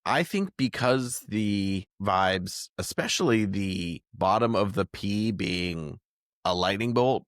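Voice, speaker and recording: Low-voiced American man hosting a podcast. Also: animated, slow, dry recording, studio quality. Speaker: podcast host. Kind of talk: casual podcast discussion.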